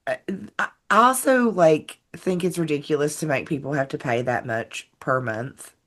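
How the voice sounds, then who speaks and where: A woman's voice: low-pitched, normal speed. A woman hosting a podcast in a casual, conversational interviewing style. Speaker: podcast host.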